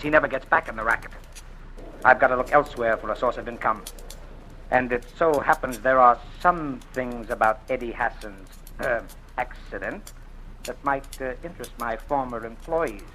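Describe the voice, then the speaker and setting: An actor playing a smooth, sleazy former insurance investigator who blackmails a woman, talking in an oily, taunting drawl, in 1940s radio drama style. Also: mid-range voice, normal speed, bright, forceful tone, slight background noise, natural voice, low-quality recording. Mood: menacing.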